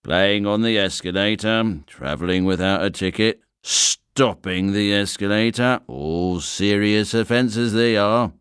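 A stern, gruff station inspector lays out each point in an officious, deliberate way. A man puts on the voice while reading a storybook aloud. The sound is dry and close, and the pace is slow. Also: lowish voice, fairly bright tone, dry recording.

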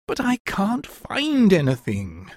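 A woman voicing an impatient, petulant, bossy gentleman professor in a dramatised storybook, exasperated and indignant. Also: medium pitch, wildly swooping pitch, measured pace, husky, dry recording, studio quality, heavily acted. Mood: upset, impatient. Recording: music behind the voice.

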